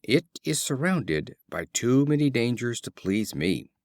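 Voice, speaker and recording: A man's voice: mid-range voice, very animated, unhurried, darkish tone, dry recording. Male narrator as storybook country mouse: courteous, timid, regretful, with varied intonation and a dry sound. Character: timid, polite country mouse, disillusioned guest.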